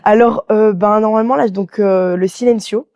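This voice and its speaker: A woman's voice: medium pitch, fairly even intonation, normal speed, crowd noise. A woman, chatting casually and telling anecdotes as an interview guest, talkative and full of slang and quoted dialogue. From Belgium. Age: 20s. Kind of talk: casual chat, anecdotal storytelling.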